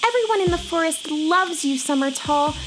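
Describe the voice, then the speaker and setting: A woman's voice: very high-pitched, expressive, moderate pace, background noise. An actress playing an earnest, kind and polite young space girl in a children's radio play, with an innocent manner. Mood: gently apologetic.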